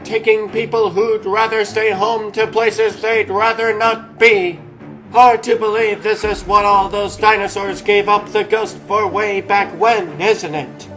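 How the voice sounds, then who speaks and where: Guy doing a wry cartoon rabbit superhero, high voice, slow theatrical delivery, bit of music behind. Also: steady tone, fairly bright tone, rough recording. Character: wry, dramatic male rabbit superhero leader. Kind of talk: comic dub character acting. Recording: faint music underneath.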